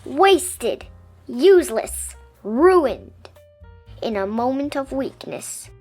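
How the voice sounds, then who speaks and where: A woman reading a children's picture book aloud expressively, with dramatic, emphatic pauses. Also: high voice, sing-song, a little mellow. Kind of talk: expressive children's storybook narration. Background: music.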